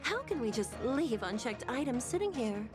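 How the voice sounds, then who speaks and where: Game role: dutiful female official, expressive pitch movement, formal, insistent, explanatory, faint music underneath. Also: moderate pace. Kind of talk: video game voice acting.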